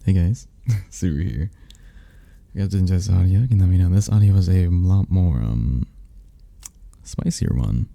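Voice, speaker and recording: A man who makes ASMR audio, talking casually to his listeners in a gravelly, husky voice. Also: low-pitched, a little fast, warm tone, no echo. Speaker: ASMR creator, voice actor.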